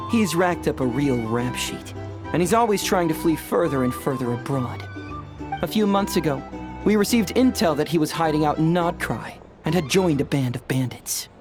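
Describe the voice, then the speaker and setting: A man's voice: a little high, animated, normal speed, over music, natural voice. An actor playing a cheerful young male detective in a fantasy game, chatty, theatrical and courteous. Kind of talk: animated game voice acting.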